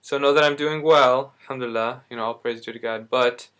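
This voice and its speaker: A man's voice: medium pitch, fairly even intonation, a little fast, a bit bright. A young man talking casually in a video diary, rambling and correcting himself, speaking while in back pain. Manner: rambling, self-correcting, lots of fillers. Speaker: vlogger.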